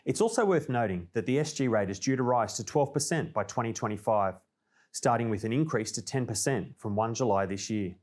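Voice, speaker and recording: A man's voice: mid-range voice, expressive, a little fast, a little mellow. Australian man presenting scripted business information. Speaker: presenter of a company newsreel.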